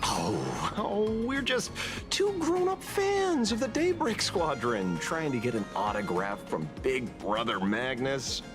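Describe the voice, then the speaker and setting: A man's voice: medium pitch, huge pitch swings, slow pace. A man playing a sly, teasing veteran investigator in a video game, with a casual, wry and theatrical delivery. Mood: mock-dramatic teasing. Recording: music behind the voice.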